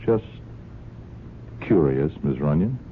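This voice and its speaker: A man's voice: rumbling low, expressive, slow pace, full character voice. An actor playing a hesitant, folksy police sergeant in a radio mystery, falsely casual as he probes and insinuates. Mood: casually suspicious. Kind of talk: 1970s radio drama acting. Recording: muffled, noisy old radio recording.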